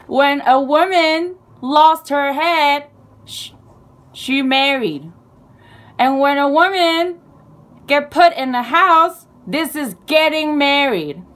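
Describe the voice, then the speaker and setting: Slow, halting woman, Chinese native. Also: high voice, animated, fairly bright tone. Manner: slow, halting, playful, with fillers and self-corrections. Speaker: video creator. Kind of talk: casual explainer lesson.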